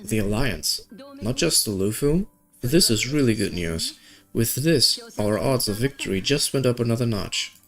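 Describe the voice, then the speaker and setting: Low-voiced man reading game story aloud. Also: animated, moderate pace, vocal fry. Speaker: gaming video creator. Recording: music behind the voice.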